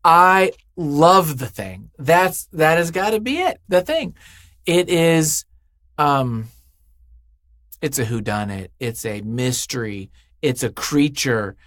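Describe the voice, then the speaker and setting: A man's voice: a little high, big pitch swings, takes it slowly, a bit bright, no echo, studio quality. A man speaking as an interview guest in casual, unscripted chat, effusive and emphatic, often thinking aloud.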